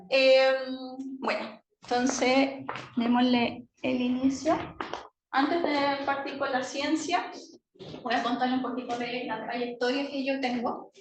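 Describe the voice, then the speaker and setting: A Chilean woman with a high voice speaks as a university lecturer addressing students. Her delivery is informal and conversational, with small check-ins for the listeners. The room is echoey and there is faint noise behind her. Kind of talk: informal academic talk to students.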